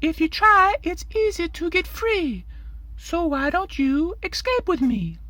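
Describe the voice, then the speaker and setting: Squeaky, eager little boy. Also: very animated, unhurried, bright, forceful tone, exaggerated voice. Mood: eager, playful. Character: energetic young boy, eager and playful.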